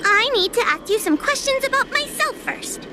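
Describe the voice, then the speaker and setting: A woman's voice: extremely high pitch, very animated, normal speed, loud music behind the voice. A woman playing a timid, anxious young girl in a video game, speaking hesitantly. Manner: hesitant, nervous, often faltering.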